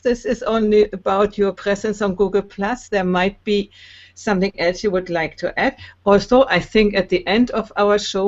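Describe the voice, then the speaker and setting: A woman's voice: medium pitch, muffled recording. A woman co-hosting a casual online talk from her home, laughing often as she introduces guests. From Germany. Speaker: host and coach. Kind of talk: casual video call chat. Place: home in Italy. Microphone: video call.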